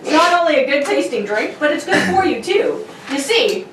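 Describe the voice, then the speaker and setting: A woman's voice: high voice, sing-song, moderate pace, far from the mic. A woman announcer reading old-time radio serial narration in a theatrical, playful amateur style. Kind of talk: amateur read of 1940s radio narration and sponsor ad. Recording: roomy, off-mic, faint noise.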